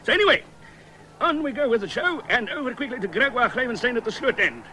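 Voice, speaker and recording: Excitable high-pitched rambling cricket commentator. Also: male voice, quick pace, slight background noise, exaggerated voice, low-quality recording. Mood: flustered. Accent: South African. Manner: digressive, bumbling, folksy. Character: excitable, rambling small-town South African cricket commentator. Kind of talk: mock sports commentary in 1970s radio comedy.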